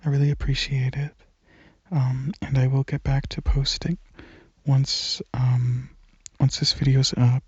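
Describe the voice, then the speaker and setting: A man rambles casually in a soft ASMR style, very breathy and often close to a whisper. His delivery is slow and hesitant, with informal phrasing. The recording is close and slightly muffled. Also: mid-range voice, completely flat delivery, no echo. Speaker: ASMR channel creator.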